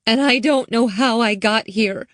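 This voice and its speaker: A terrified young girl, her voice pitched high and cracking with panic as she fights back tears, acted by a woman reading aloud. It is a dry, close recording with no room sound. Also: measured pace, dry recording, lightly acted. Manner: tearful, panicky, breaking voice. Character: frightened young girl, kidnapped and crying.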